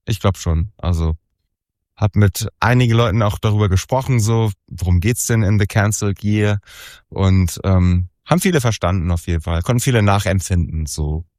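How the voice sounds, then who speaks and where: A man's voice: fairly low pitch, normal speed, darkish tone, no echo, studio quality. A man in his forties, a musician being interviewed, talking casually and rambling with many fillers and restarts. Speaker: musician guest.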